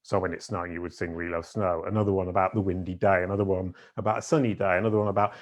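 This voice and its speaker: Deep-voiced middle-aged man telling stories. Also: flat delivery, rapid-fire. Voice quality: big deep voice. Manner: rambling, with fillers and self-corrections. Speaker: podcast guest. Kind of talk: casual storytelling interview.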